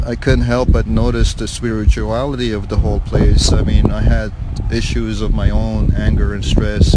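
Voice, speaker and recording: A man from Lebanon giving an unscripted interview outdoors, speaking in a hesitant, measured, earnest way. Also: fairly low pitch. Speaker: activist interviewee, prayer camp member. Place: outdoors near a river. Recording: loud wind noise on outdoor mic.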